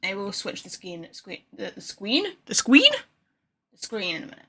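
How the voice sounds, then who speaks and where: Bright-voiced woman streamer, chatty and rambling. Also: medium pitch, very animated, normal speed, husky. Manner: chatty, rambling, self-deprecating. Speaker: game streamer. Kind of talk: casual live-stream chat.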